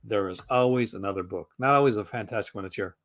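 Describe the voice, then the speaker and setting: A young man hosting a casual live stream, rambling with lots of fillers and restarts, his voice going hoarse. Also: fairly low pitch, fast. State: losing his voice. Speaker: host.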